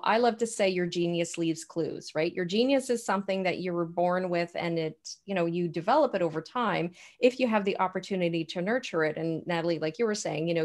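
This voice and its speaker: Low-voiced woman chatting on a video call. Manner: affirming, leans on "you know" fillers. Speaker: co-host of an online coaching talk. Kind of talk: casual conversational chat.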